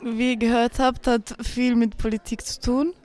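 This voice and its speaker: A woman's voice: fairly high pitch, level tone, moderate pace, darkish tone. A teenage girl reading explanations from a script for a school podcast. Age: teens. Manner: reads script lines. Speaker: student podcast speaker.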